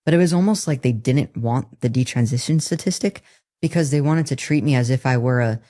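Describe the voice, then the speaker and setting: A woman in her twenties with a somewhat deep voice, telling her own story as an interview guest in an earnest, candid way, with halting restarts. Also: warm tone. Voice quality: somewhat deep, masculine-leaning voice. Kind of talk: personal testimony in a podcast interview.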